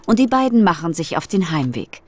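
A woman's voice: on the low side, big pitch swings, brisk, over music. A woman narrating a scripted children's audio drama as a storyteller.

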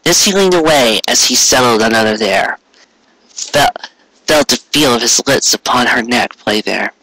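Guy reading a story out loud, mid-low bright voice, really dry sound, trips on words a bit. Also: expressive, moderate pace, no echo, poor audio. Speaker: amateur fan fiction reader. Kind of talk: scripted narration, storybook reading.

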